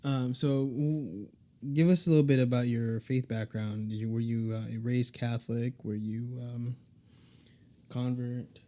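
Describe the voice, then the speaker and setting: A man's voice: mid-range voice, steady tone, a little slow, dark tone. A young man hosting a podcast, asking questions in a playful, jokey way. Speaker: podcast host. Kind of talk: casual interview chat.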